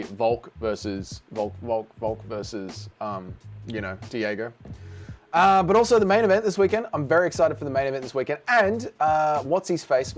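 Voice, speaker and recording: A middle-aged man streaming live, reading and answering chat in a brash, sarcastic way and swearing freely. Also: medium pitch. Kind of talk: casual livestream chat.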